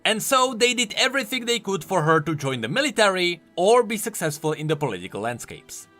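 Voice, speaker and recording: Animated, bright-voiced man doing explanatory commentary. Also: high-pitched, fairly quick, soft background music. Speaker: YouTube game lore host.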